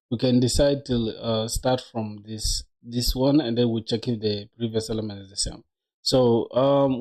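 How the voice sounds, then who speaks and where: A man's voice: mid-range voice, warm tone. A man in his twenties chatting casually on a coding livestream, slangy and familiar with his viewers, talking to them like buddies. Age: 20s. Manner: slangy, addresses viewers as bro and dog. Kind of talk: casual live-stream chat while coding.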